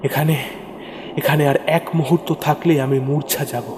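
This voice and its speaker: A man's voice: a little high, steady tone, normal speed, noisy background. A man narrating a first-person horror story in a suspenseful, theatrical way, repeating phrases for dramatic effect. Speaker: narrator of horror audio stories. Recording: long reverb over prominent music. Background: music.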